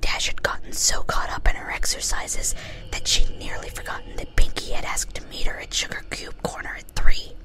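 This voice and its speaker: A woman reading a story aloud in an ASMR style. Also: normal speed. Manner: casually swears at own slips. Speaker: reader.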